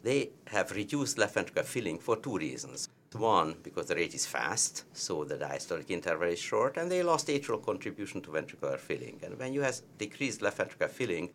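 A man's voice: sits low, big pitch swings, brisk, hardly any pauses, fairly bright tone, slight background noise. A man with a heavy Hungarian accent, a cardiologist explaining treatment with emphatic repetition of key points. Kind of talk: medical teaching discussion.

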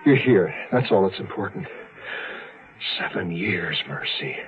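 An actor playing a lovesick, intense man in a melodramatic 1970s radio drama, halting and fervent. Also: low-pitched, lively intonation, slightly rough, heavily acted. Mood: fervent, tender. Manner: halting, fervent, repeating himself. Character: lovesick, intense man reunited with his lover. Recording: muffled, hissy vintage radio recording.

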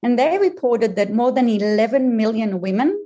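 A woman in her fifties with a mixed Israeli, Australian and American accent, explaining things in a measured way with frequent ums as a podcast guest. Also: fairly high pitch, expressive, mellow. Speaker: career coach guest. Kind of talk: podcast interview.

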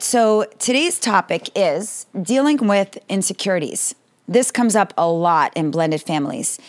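A woman with a slightly raspy voice hosting a solo family-advice podcast, talking earnestly and directly to her listeners. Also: mid-range voice. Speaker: podcast host.

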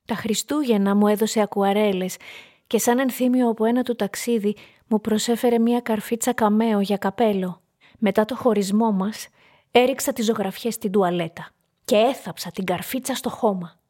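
An actress playing a wistful, polite woman client quietly wounded by lost love, speaking in a soft, measured radio-drama manner. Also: mid-range voice, fairly even intonation, lightly acted. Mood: wistful, pained. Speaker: actor in a radio play.

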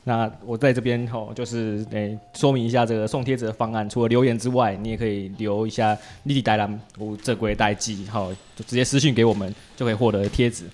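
A man's voice: lowish voice, steady tone, fast talker, hardly any pauses, darkish tone. A man with a Taiwanese Mandarin accent hosting a casual podcast interview in a playful, teasing way. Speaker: podcast host.

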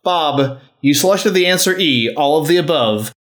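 A man's voice: fairly high pitch, lively intonation, moderate pace, a bit bright. A man hosting a wrestling podcast, moving between wordy, enunciated quizmaster delivery and casual chat. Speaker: podcast host.

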